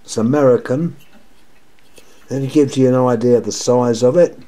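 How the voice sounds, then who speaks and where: An older man with a sore, croaky throat, talking casually and in a rambling, repetitive way while showing his lamp collection at home. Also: medium pitch, moderate pace, dark tone. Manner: rambling, repeats himself, wry complaints. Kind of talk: casual show-and-tell monologue. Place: indoors at home, table of lamps.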